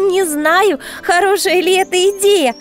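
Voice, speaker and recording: A woman voicing a cheerful, caring little piglet girl in a children's toy cartoon, in a childlike expressive way. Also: extremely high pitch, slow pace, faint music behind. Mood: playful.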